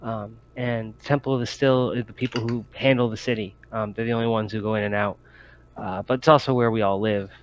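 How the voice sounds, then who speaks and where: Low-voiced man narrating a tabletop game. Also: steady tone, fairly quick, lo-fi sound, a little hiss or hum. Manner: hesitant, thinking aloud. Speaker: game master.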